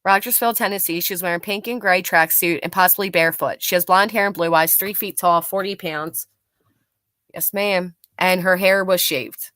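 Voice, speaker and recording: A woman's voice: on the low side, steady tone, normal speed, a bit bright, dry recording, studio quality. A blunt, sarcastic woman hosting a live stream, mocking clips, chatting with viewers and swearing freely. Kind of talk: live commentary reacting to clips and reading chat.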